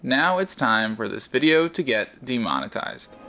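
A man co-hosting a vlog, narrating a measured restaurant review. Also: medium pitch, very animated, bright, forceful tone, a little hiss or hum. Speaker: vlog co-host.

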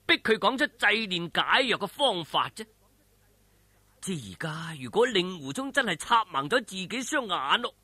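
A man with a Cantonese accent telling a martial arts story in a dramatic, animated, colloquial and suspenseful way. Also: a little high, no echo.

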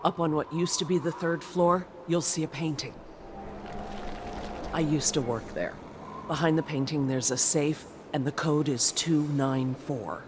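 Earnest higher-voiced townsman played by an actor. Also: measured pace, noisy background, natural voice. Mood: grateful, confiding. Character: earnest, grateful male city survivor. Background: music.

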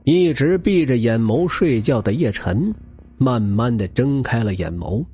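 A man's voice: mid-range voice, animated, a little slow, mellow, breathy edge. A man narrating an audiobook novel in a dramatic, scripted storytelling style, with music behind him. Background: background music.

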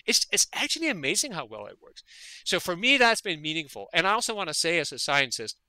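A man from Denmark speaks as an interview guest, earnest and thoughtful, often repeating a word before going on. His voice is very bright and sits a little high for a man. The sound is close and completely dry. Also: very animated, fast, no echo, rough recording. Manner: earnest, repeats words while thinking. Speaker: guest, veterinary parasitologist. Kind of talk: conversational interview.

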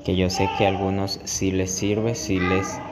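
A man's voice: low-pitched, level tone, unhurried. A man giving step-by-step instructional narration as a barber teaching a tutorial. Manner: explanatory, step-by-step. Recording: echoey room with clear background noise.

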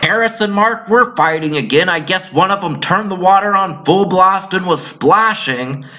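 A man's voice: high-pitched, normal speed, pushed and bright. A man voicing a dry, blunt grown daughter in an amateur cartoon sitcom parody, matter-of-fact in manner.

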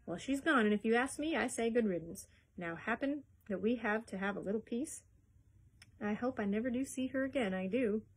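A woman's voice: lively intonation, normal speed, few pauses, a bit bright, slightly rough, poor audio. A woman playing a scolding mother. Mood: exasperated. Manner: scolding, shrill. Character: scolding, exasperated village mother.

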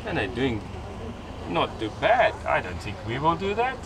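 A man's voice: mid-range voice, normal speed. Man commenting live from a sailboat. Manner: excited, commenting on a race as it happens. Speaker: sailing vlog host. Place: outdoors on a sailing catamaran at sea. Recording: windy outdoor noise. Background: wind and water noise.

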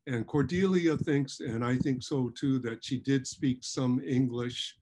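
An older man speaking in a measured way as a panelist on a video call, pausing to clear his throat. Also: medium pitch, background noise. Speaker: panelist, historian. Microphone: webcam on a video call.

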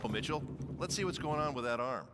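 An actor playing a calm, polite prosthetics technician in a video game, giving clinical instructions courteously. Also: male voice, mid-range voice, quick pace, heavy background noise, close to an everyday voice. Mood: helpful, focused. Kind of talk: cinematic video game acting.

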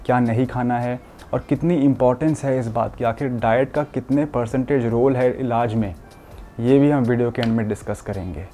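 Young man, doctor explaining to camera, earnest, warm and mellow, seated with a lapel mic in a quiet room. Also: medium pitch, slight background noise. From India. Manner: earnest, explanatory, mixes English medical terms into speech. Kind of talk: explanatory health talk to camera. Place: furnished studio room with curtains, indoors.

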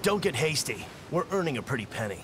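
An actor playing a brash, confident young pirate captain in a video game, with a swaggering delivery. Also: male voice, mid-range voice, big pitch swings, quick pace, bright, forceful tone, slight background noise. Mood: cocky. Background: music.

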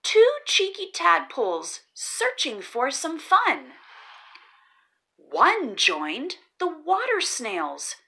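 A woman reads a picture book to children, very slowly and in a sing-song way, her pitch swooping high and low with every line. Her voice is bright and a little high, and she lingers in a pause before each counted number. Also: wildly swooping pitch, gravelly. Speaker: children's book reader, channel host. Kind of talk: read-aloud storytelling for kids.

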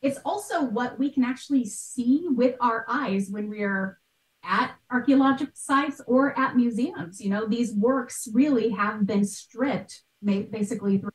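A woman's voice: a little high, measured pace. American woman explaining, in a roomy space. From United States. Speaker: podcast guest, art history expert. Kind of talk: conversational explaining in an interview. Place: small bright room at home. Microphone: video call. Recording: roomy video-call sound.

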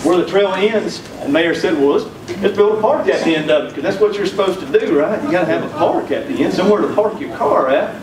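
A man's voice: high-pitched, fast talker, few pauses, crowd noise. A man in his thirties from South Carolina with a Southern accent, giving a conference keynote with folksy, humorous storytelling on a handheld mic. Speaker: keynote speaker. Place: conference room, indoors. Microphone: handheld mic, recorded from far back. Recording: echoey room from a distance.

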